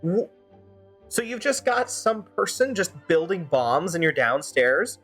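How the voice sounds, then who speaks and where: Animated, rambling game streamer of ambiguous gender. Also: medium pitch, unhurried, husky, soft background music. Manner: rambling, animated, mock-exasperated. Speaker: streamer fan-dubbing a game live. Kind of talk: casual gameplay commentary.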